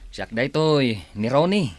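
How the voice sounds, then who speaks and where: Animated, confident Ilocano handyman. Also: medium pitch, slow. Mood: coaxing. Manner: confident, polite. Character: Ronnie, confident, respectful yet forward young handyman. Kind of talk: Filipino radio drama acting.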